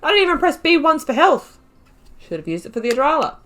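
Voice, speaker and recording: A woman gaming YouTuber giving casual, chatty commentary as she plays through a role-playing game, reacting out loud. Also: sits high, very animated, fast. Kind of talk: casual let's-play commentary.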